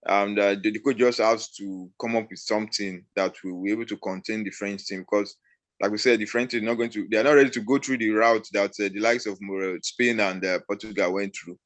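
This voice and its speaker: A fairly low male voice, recorded dry and close. He gives casual football punditry, speaking haltingly and circling back over his own phrases. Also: steady tone, no echo. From Nigeria. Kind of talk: casual sports analysis.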